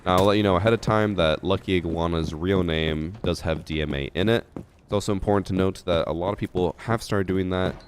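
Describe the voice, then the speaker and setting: A man's voice: deep voice, a little fast, a little hiss or hum. A young man streaming a shooter game, chatting casually with his chat and thinking aloud with fillers, a little stuffy from a head cold.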